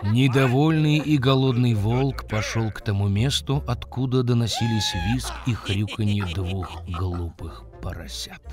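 A storyteller narrating a scripted fairy tale for children in an expressive, dramatic manner. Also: medium pitch, measured pace, hardly any pauses. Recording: music behind the voice. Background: music.

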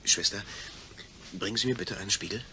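Fragender Astronaut mit heller, tiefer Stimme. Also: male voice, fairly low pitch, brisk, bright, forceful tone, slight background noise, poor audio. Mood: relieved, curious. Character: astronaut Ingolf Stein, uneasy brain-surgery volunteer, inquisitive. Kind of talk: German radio play acting.

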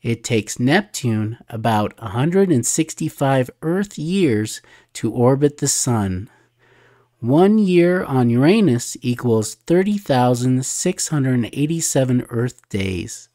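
Slow, measured storybook reader. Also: medium pitch, expressive, dark tone. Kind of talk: read-aloud children's storybook narration.

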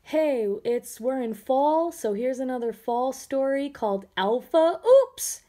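Slow, high-voiced woman reading a storybook. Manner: expressive, putting on playful voices and dramatic emphasis. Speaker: teacher reading children's books aloud. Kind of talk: animated storybook read-aloud for children.

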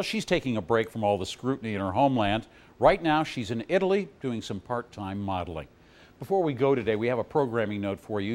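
A man presenting a television news feature in a measured, formal delivery. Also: mid-range voice. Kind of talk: scripted news narration.

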